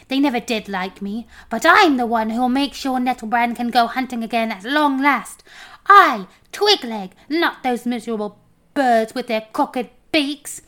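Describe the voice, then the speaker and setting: A tiny, sly male homunculus from a fantasy storybook, put on by a woman reader. The voice is pitched up, haughty and bossy, with a hissing, scolding edge. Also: sharp tone. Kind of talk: children's fantasy storybook reading.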